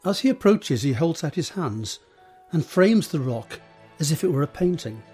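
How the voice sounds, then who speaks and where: A man reading scripted scene directions as a narrator, measured and descriptive, with deliberate pauses. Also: on the high side, big pitch swings, darkish tone, breathy edge, soft background music.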